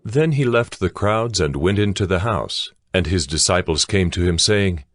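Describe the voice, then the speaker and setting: Very deep male scripture narrator. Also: lively intonation, moderate pace, no echo, studio quality.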